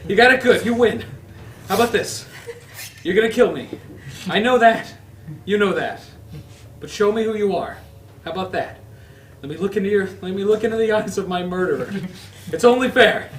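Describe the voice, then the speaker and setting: A man's voice: high-pitched, moderate pace, long pauses, far from the mic, lightly acted. A man reading the part of a wounded man who threatens, bargains and begs for his life at a comedy table read. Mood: pleading. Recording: roomy echo, off-mic, faint noise.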